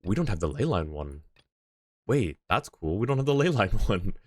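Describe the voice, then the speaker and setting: A man's voice: lowish voice, very animated, moderate pace, darkish tone, no room sound. A man streaming a game live, chatting casually and rambling as he thinks aloud. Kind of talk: casual livestream chat.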